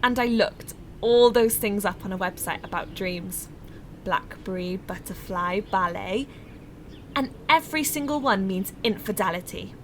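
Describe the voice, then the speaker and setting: A woman's voice: mid-range voice, natural voice. A young woman acting in an audio drama as a suspicious woman convinced she has been betrayed. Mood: bitter, certain. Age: young adult. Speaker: student actor. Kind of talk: audio drama monologue and dialogue. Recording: noisy amateur home recording.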